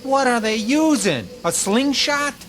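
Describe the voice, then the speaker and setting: An actor playing an uneasy, grumbling infantry soldier in a radio war drama, sounding incredulous. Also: male voice, very high voice, animated, measured pace, a bit bright, slight background noise, full character voice. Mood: exasperated.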